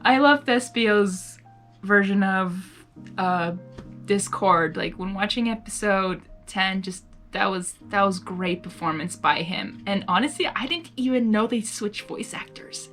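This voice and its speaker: A woman reading a story aloud with excitable, giggly, rambling casual commentary. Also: mid-range voice, unhurried, faint music behind. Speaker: host of fan fiction reading videos.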